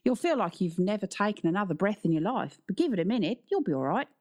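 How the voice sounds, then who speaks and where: A young Australian games teacher, played by a woman narrator in a children's audiobook. He is breezy and slangy, friendly even as he takes command of a group of pupils. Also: mid-range voice, fast talker, pitched above the performer's own voice. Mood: breezy, reassuring. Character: young Australian male sports teacher, stocky, breezy and matey.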